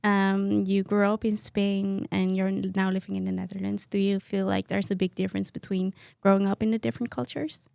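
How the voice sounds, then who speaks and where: A woman's voice: medium pitch, little pitch movement, fairly quick, vocal fry, hardly any pauses, a little mellow, clean tone, no echo. A young woman co-hosting a podcast, encouraging and keeping the talk going with short agreements. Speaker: podcast co-host.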